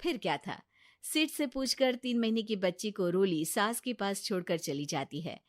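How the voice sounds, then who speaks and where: A woman's voice: mid-range voice, animated, normal speed, no echo, studio quality. A woman narrating a scripted family story as storyteller.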